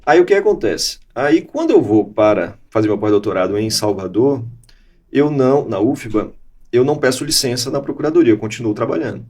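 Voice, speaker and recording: A man's voice: medium pitch, lively intonation, brisk, a little mellow. A Brazilian man from Bahia, in his forties, recounting his career in a conversational interview, with an accent mixing Bahian and Gaúcho speech and frequent restarts. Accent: mixed Bahian and Gaúcho Brazilian Portuguese. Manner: reflective storytelling with frequent restarts. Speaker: interview guest, law professor.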